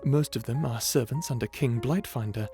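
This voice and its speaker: Dragon butler, male actor: bashful, courteous, hesitant servant for ASMR roleplay, medium-pitched, faint music underneath. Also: normal speed, mellow, close to an everyday voice. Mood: courteous, gently explaining. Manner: stammering, hesitant, deferential, formally polite. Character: shy polite old dragon butler, male, eager servant.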